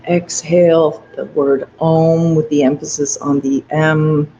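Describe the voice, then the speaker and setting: A meditation guide leading a guided breathing meditation in a soft, gentle, unhurried way. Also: medium pitch, level tone, slight background noise. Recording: dull lo-fi recording with faint ambience.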